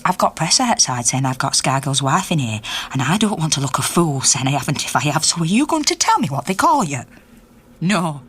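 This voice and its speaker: A woman's voice: low-pitched, a bit bright, husky, heavily acted. An actress playing a middle-aged Yorkshire miner's wife, an indignant activist telling her story conversationally and acting out the dialogue in a naturalistic radio drama. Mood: defiant.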